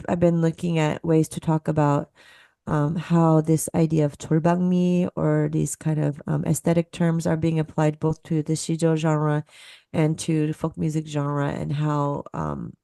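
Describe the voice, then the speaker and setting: A woman's voice: deep voice, creaky, mellow, dry recording, clean studio sound. A woman speaking as a guest musicologist on a video call, explaining thoughtfully and searching for words as she goes.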